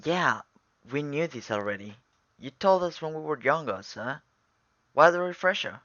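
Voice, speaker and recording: A man playing a young human space trainee in an animated film, talking in a casual, bantering way. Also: a little high, normal speed, dry recording, muffled recording. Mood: questioning.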